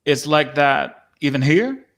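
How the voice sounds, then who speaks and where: A man's voice: fairly high pitch, lively intonation, slow pace, gravelly, a bit bright, heavily acted. A man playing a proud, folksy old-time cowboy spirit from a painting in a dramatic script reading, with boastful, rustic phrasing. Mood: folksy, curious.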